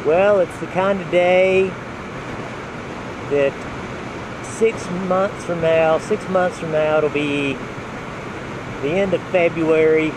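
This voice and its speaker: A man narrating his motorcycle ride from a helmet mic in a relaxed, unhurried way, with wind and engine noise. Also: fairly high pitch. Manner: relaxed, unhurried, talks while riding. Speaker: motovlogger. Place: on a motorcycle outdoors. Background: road and wind noise.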